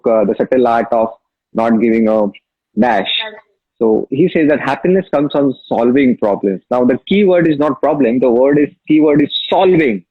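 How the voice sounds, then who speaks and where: A man's voice: medium pitch, breathy edge, no room sound. A man from Maharashtra with a Marathi-accented Indian English, telling his story emphatically as an interview guest. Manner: emphatic, storytelling, repeats key phrases. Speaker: interview guest, app founder. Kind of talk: casual interview talk. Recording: dull lo-fi sound.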